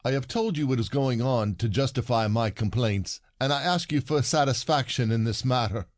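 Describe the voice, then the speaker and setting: An actor playing a bitter, sarcastic husband, a rich commoner full of complaint, in a theatrical comedy audio play. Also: male voice, medium pitch, normal speed, no echo, clean studio sound, close to an everyday voice. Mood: insistent.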